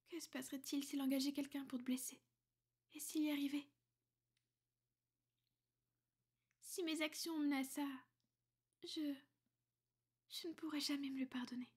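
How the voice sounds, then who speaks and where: A woman voicing a powerful, protective, ancient vampire noblewoman in an ASMR roleplay, soft-spoken and breathy, formal and measured, slipping into whispers. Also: high-pitched, lightly acted. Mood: worried, tender. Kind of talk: French ASMR girlfriend roleplay.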